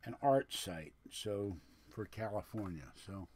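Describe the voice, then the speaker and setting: A man's voice: lowish voice, lively intonation, unhurried, a little mellow, breathy edge. A man with a lisp chatting casually and rambling to viewers on a livestream, with a digressive, grumbling manner. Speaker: livestream host.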